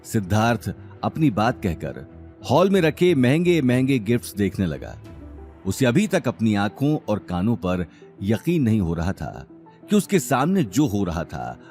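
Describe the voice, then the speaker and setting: A man's voice: on the low side, big pitch swings, measured pace, a little mellow, soft background music. A man narrating a scripted audio drama story in a dramatic style.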